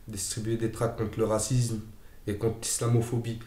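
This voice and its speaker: A man's voice: fairly low pitch, flat delivery, moderate pace, mellow. A young man from France talking casually, with many hesitations and fillers. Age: young adult. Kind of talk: casual testimony. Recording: echoey room.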